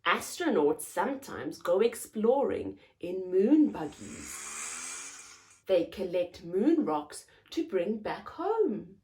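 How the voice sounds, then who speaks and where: A woman's voice: on the low side, wildly swooping pitch, slow pace, a little mellow. A woman hosting a story time, reading aloud expressively to young children in simple phrases and putting questions to them.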